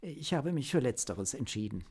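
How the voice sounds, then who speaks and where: Man as eager tech agent, raised animated voice. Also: medium pitch, normal speed, husky, voice pushed up. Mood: earnest, regretful. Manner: earnest, technical explaining.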